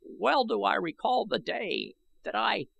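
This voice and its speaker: A man voicing a boastful, cackling old Martian in a shrill voice, with old-fashioned taunting speech, in an audiobook. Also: sing-song, a little slow, creaky, fairly bright tone, husky, heavily acted. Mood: scornful.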